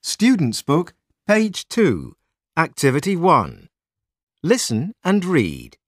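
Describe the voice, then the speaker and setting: A man reading out lesson instructions as narrator, in a measured, announcing way. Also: a little high, wildly swooping pitch, no echo, clean studio sound. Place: recording studio.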